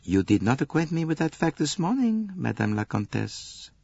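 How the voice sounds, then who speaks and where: A man voicing a shrewd, courteous detective, measured and probing, in an audiobook. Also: a little high, sing-song, creaky, warm tone, husky, no room sound, lo-fi sound, pitched above the performer's own voice, heavily acted. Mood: blunt. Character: shrewd Belgian male detective, polite, probing, unruffled.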